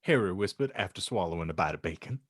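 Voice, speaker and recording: A man's voice: sits low, expressive, fast talker, husky, no echo, studio quality. A man hosting a comedy podcast and narrating, with a mock-solemn, wry, theatrical delivery. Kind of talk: comedic banter and dramatic story reading.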